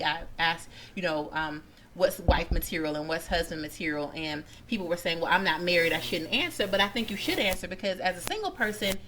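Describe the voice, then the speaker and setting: A woman's voice: on the low side, sharp tone. Chatty woman hosting, faint background noise. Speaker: host of a panel talk show. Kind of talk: casual group discussion hosting.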